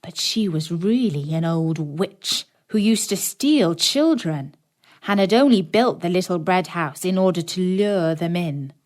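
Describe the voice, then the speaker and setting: A woman narrating a scripted children's story in a dramatic, deliberate storytelling manner. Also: fairly low pitch, a little mellow. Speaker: audiobook narrator.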